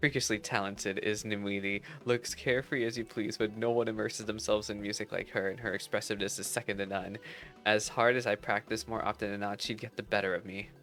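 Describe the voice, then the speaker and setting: A man's voice: lowish voice, steady tone, a little fast, fairly bright tone. A man reading aloud the game dialogue of a proud, earnest female cat-girl musician with a defensive streak. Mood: troubled. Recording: music behind the voice.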